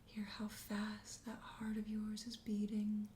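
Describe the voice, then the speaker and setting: A woman acts out a suave vampire host in an ASMR roleplay, murmuring in a soft, breathy whisper with a dark, mellow tone. The delivery is slow, coaxing and flirtatious, set in a roomy, echoing space. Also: mid-range voice, completely flat delivery, close to an everyday voice. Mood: teasing, menacing. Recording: roomy echo, faint background noise.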